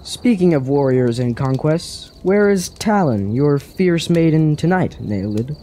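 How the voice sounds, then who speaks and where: An actor playing a teasing, wine-loving young villager with a sardonic manner in a fantasy radio drama. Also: male voice, fairly high pitch, expressive, unhurried, mellow, slight background noise. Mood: merry, sly. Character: wry, wine-loving young village lad, mocking idler.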